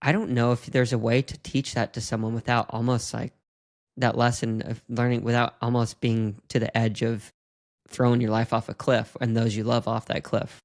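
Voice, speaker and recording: Flat-toned man in his thirties. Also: medium pitch, normal speed. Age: 30s. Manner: open, confessional musing. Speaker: podcast host. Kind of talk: podcast interview.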